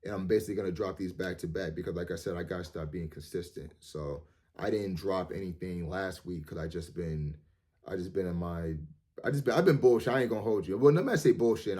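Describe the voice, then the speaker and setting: A man in his thirties with a low, deep voice talks straight to his viewers. He rambles and restarts, repeats words, and fills his pauses with "um" and "you know". His tone stays earnest and motivational. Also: dark tone. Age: 30s. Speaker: vlogger. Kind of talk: casual monologue to camera.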